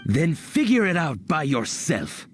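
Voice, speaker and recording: A man voicing a stern, haughty military commander, measured and condescending, in a dramatic video game style. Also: on the high side, huge pitch swings, over music. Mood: cold, dismissive.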